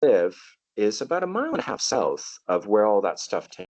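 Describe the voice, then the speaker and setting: Brief: middle-aged Canadian man, low voice with animated pitch, dry close sound, thoughtful storyteller. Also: normal speed, no echo. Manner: thoughtful, storytelling, repeats words at starts. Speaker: guest, tour guide. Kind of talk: casual podcast interview.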